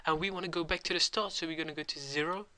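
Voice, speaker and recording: Young guy with a cold hosting a tutorial, rambling and casual; fairly high, crisp and clear voice. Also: steady tone, rapid-fire, pushed and bright. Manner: rambling, lots of ums and uhs. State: has a cold. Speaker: tutorial host. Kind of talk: casual screen-recorded software tutorial.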